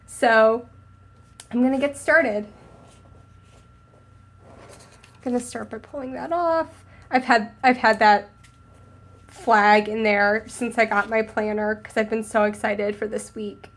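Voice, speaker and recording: A young woman with a cold, a little hoarse and sniffly, chatting casually as she narrates decorating her planner. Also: on the high side, fairly even intonation, slow pace, pauses often. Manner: chatty, upbeat, thinking aloud while crafting. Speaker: planner vlogger.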